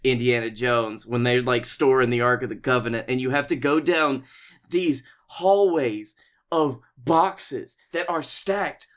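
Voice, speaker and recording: A man co-hosting a comedy podcast, telling casual stories in an animated, playfully exaggerated way. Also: medium pitch, a little slow. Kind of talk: casual comic storytelling.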